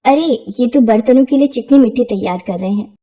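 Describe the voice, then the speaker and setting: A woman's voice: fairly high pitch, a little fast, dark tone, dull audio, natural voice. A woman playing a devoted young village wife and mother, tender and emotional, in a dramatic bedtime story. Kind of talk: acted dialogue in a Hindi bedtime story.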